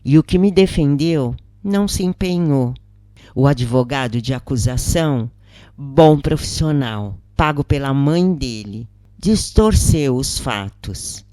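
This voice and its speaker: A grave, patient imprisoned woman , as a narrator reads her, speaks slowly and earnestly with a Brazilian accent. She explains and confides in an audiobook story, with a dry, close sound. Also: sits low, warm tone, no room sound.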